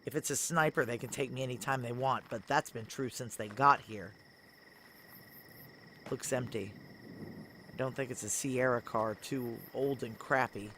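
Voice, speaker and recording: A woman's voice: rumbling low, moderate pace, long pauses, fairly bright tone, slight background noise. An actress playing a tough, desperate female security operative who narrates and speaks tersely in a noir sci-fi audio thriller. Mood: tense, wry. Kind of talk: first-person noir audio drama acting and narration.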